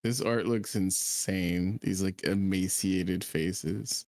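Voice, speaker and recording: A man's voice: deep voice, steady tone, takes it slowly, a little mellow. A man co-commentating on a livestream in casual, slangy reaction chat with some swearing.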